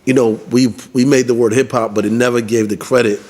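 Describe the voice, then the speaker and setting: A man's voice: mid-range voice, fairly even intonation, quick pace, darkish tone. A man from the Bronx, hosting a casual interview and asking loose, rambling questions. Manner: loose, searching phrasing with restarts. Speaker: podcast host.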